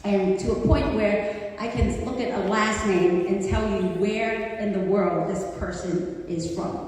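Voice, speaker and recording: A woman's voice: mid-range voice, unhurried. A woman in her forties who grew up in Hong Kong and speaks Cantonese natively, giving a chatty personal talk at a community event, with many fillers. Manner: warm, conversational, with frequent fillers. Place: community center hall. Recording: echoey hall, distant mic, faint noise.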